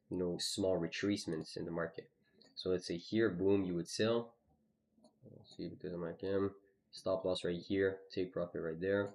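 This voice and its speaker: A man's voice: sits low, a little mellow. A man streaming live, casually walking through his trades on a chart, with lots of "you know" and "okay". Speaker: trading streamer.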